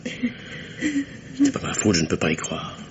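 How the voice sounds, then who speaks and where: An actor playing a carefree young bachelor shaken by guilt, speaking haltingly and earnestly in a 1950s-style French radio drama. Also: male voice, very high voice, wildly swooping pitch, exaggerated voice. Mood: frank, defensive. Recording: dull lo-fi old radio, noisy.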